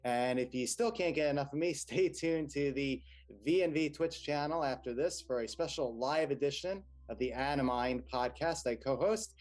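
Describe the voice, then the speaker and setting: A man's voice: mid-range voice, moderate pace, darkish tone. A man co-hosting podcasts, casually signing off and plugging his work. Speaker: podcast co-host and cast member. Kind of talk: casual sign-off and plug.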